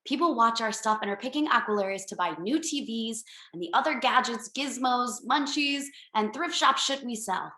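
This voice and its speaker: A woman acts out a self-absorbed female executive in a comedy screenplay read. Her voice is high and bright, with breezy, bossy delivery. It is recorded in a roomy home over a video call. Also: normal speed, hardly any pauses. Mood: breezy, boastful. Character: brash, self-absorbed female streaming company boss.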